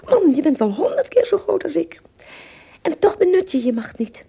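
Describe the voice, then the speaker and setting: A woman playing a pompous, excitable tiny king in a radio play, with a raspy, creaky voice and a grandiose manner. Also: very high voice, moderate pace, heavily acted. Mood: grand, admiring.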